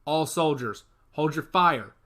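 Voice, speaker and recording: A man voicing a blunt male soldier who leads a squad, barking brisk orders in a storybook reading. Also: on the high side, lively intonation, bright, forceful tone, heavily acted. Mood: commanding. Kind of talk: audiobook character voice.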